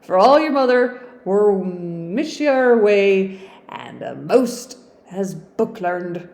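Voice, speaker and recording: A woman's voice: medium pitch, animated, slow, vocal fry, a little mellow, reverberant. A woman voicing an old Yorkshire servant woman in a storybook reading, talking in broad dialect, drawn out and emphatic. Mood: mournful. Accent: Yorkshire, put on.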